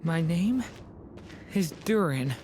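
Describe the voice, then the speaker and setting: A woman's voice: lowish voice, animated, slow pace, darkish tone, slight background noise. A woman voicing a lost, confused young boy in a game cutscene. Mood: dazed, lost. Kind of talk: scripted game cutscene acting.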